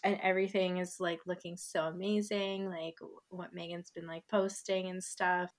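A woman hosting a casual podcast interview, chatty and bubbly, full of fillers as she fires off quick questions. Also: lowish voice, level tone. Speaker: podcast host.

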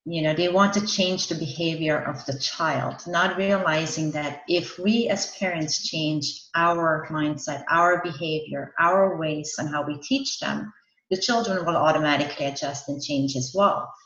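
Low-voiced woman from Austria, roomy sound. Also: a little slow, fairly bright tone, lo-fi sound. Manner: earnest, explanatory, laughs between points. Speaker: podcast guest, parenting coach. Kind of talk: interview answers.

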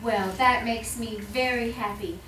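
Actress as naive young housekeeper, 1930s radio drama, deferential, earnest, distant roomy stage sound, music behind. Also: female voice, fairly high pitch, steady tone, a little slow, a bit bright. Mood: humble, anxious. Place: live stage performance in a room.

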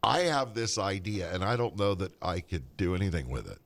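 A low-pitched man with a lively, bouncing delivery co-hosts a casual podcast. He jokes around and tosses out ideas. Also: a little fast, creaky, dry recording. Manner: joking, idea-tossing banter. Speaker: podcast co-host. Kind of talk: casual chat.

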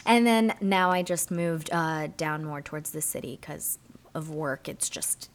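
A young woman from Miami, a martial artist guest in a casual interview, telling her story with animated, acted-out quotes. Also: low voice, a little slow. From Miami, Florida.

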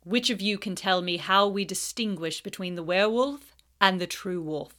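A woman voicing a cold, sarcastic male teacher who speaks slowly, quietly and with silky menace. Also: mid-range voice, natural voice. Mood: cold, menacing. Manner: slow, quiet, icy and sarcastic.

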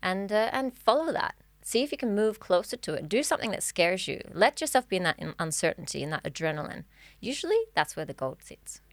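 A woman's voice: mid-range voice, sing-song, fairly quick, hardly any pauses, dry recording. A woman life coach speaking as a podcast guest in an earnest, encouraging way, often restarting her phrases. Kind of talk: casual podcast chat.

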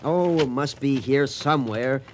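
An actor playing an argumentative, insistent American archaeologist in a radio drama. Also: male voice, medium pitch, a little slow, slight background noise, lightly acted. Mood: puzzled. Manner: animated, emphatic, insistent. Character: lively, argumentative American archaeologist, suspicious male deputy.

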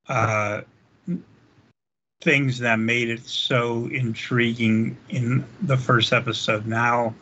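Slow, easygoing man, slightly muffled. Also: medium pitch, pushed and bright. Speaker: podcast co-host. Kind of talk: casual chat recapping episodes.

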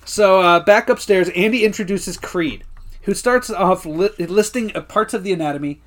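A man's voice: high-pitched, moderate pace. A man co-hosting a podcast, recapping episodes in a loose, profane way and laughing often. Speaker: podcast co-host. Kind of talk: casual recap chat.

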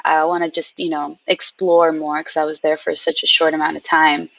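A woman's voice: deep voice, moderate pace, creaky. Woman, slightly distant and dull. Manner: animated, repeats words and restarts, laughs often. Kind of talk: casual storytelling conversation.

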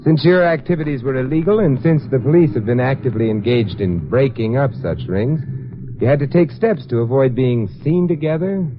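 An actor playing a suave, sardonic detective in a 1950s radio mystery, wry, unhurried and self-assured. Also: male voice, medium pitch, lightly acted. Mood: probing, assured. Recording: tinny, noisy old radio recording.

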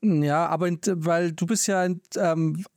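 A man's voice: a little high, steady tone, measured pace, a little mellow, no echo, clean studio sound. A man co-hosting a casual podcast, posing reflective questions. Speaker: podcast co-host.

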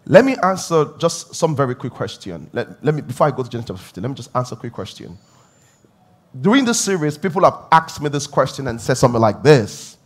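A middle-aged man preaches to his congregation in an easy, conversational way. His voice sits at an ordinary middle pitch. He circles back over his key phrases and lifts into emphatic bursts to drive each point home. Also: very animated, fast talker. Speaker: pastor. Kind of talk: sermon.

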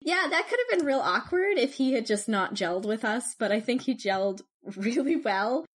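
Woman, podcast co-host, chatty, animated storyteller, casual. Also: a little high, moderate pace. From Canada. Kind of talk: casual chat.

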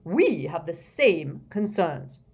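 A woman's voice: on the low side, sing-song, slow, darkish tone, slightly rough, exaggerated voice. A woman voicing a flustered, blustering older male wizard minister in a storybook reading, fretful and emphatic. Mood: grave, urgent.

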